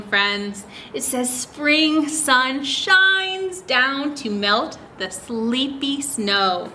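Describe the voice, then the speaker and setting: High, bright-voiced woman reading to children. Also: slight background noise. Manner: teacherly, addresses children directly with many questions. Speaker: children's story time host at a public library. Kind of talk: read-aloud for young children with explanations and questions.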